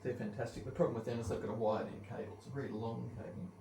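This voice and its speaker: A man's voice: mid-range voice, fairly even intonation, racing, warm tone. A man giving a casual, rambling commentary on gear and gameplay, hesitant and thinking aloud. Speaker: headphone reviewer and gamer. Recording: roomy, distant mic with faint background noise.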